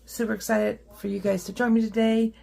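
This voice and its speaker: A woman with a rough, hoarse voice hosting a casual craft how-to, chatty and upbeat with frequent ums and uhs as she works at her craft table. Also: a little high, level tone, brisk, mellow. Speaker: craft tutorial host.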